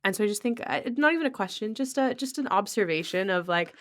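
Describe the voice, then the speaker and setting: A woman's voice: medium pitch, animated, fast. A woman hosting a podcast, chatting casually with a guest. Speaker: podcast host. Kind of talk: casual conversational chat.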